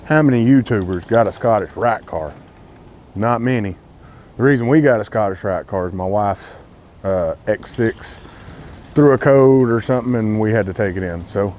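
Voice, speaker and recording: A man's voice: fairly low pitch, a little mellow, a little hiss or hum. A man from Texas with a Texan accent, talking casually to camera as a car vlogger, candid and rambling with lots of "uh" fillers.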